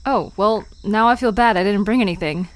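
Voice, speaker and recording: A woman's voice: medium pitch, a little fast, close to an everyday voice. An actress playing a curious, playful young woman in an audio drama, inquisitive, teasing and a little skeptical. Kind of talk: conversational audio drama acting. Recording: music and crickets behind voice.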